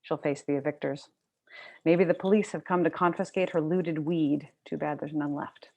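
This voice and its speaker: A woman's voice: low-pitched, steady tone, moderate pace, a little mellow. A woman novelist reading her own literary fiction to an audience at a book event.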